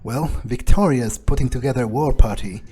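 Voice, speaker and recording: A man's voice: medium pitch, a little fast, dark tone, husky, natural voice. A man playing a cocky, crude vampire who relishes violence, with a hesitant, swearing drawl, in a roleplayed video game. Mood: blunt, scornful.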